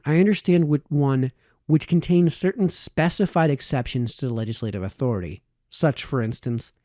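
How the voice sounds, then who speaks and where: Mellow man reading aloud, lively intonation. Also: medium pitch, no room sound, studio quality. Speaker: reader, narrator. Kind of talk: read-aloud of a historical political text.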